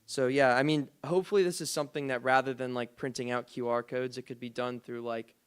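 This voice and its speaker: A man's voice: medium pitch, fairly even intonation, brisk, clear, resonant tone. A man giving a casual, loose presentation to a forum into a microphone, thinking aloud with lots of "like" and "kinda". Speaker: dance group director presenting to a forum.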